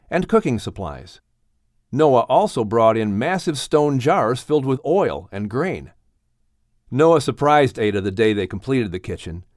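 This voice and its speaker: A man narrating an audiobook story in an expressive, dramatic storytelling manner. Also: medium pitch, dry recording, studio quality.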